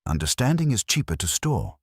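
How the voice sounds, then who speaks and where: A man reading a genteel, evasive gentleman suspect in an audiobook, dry, formal and composed. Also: on the low side, sing-song, a little fast, slightly rough, no echo, voice pushed up, heavily acted.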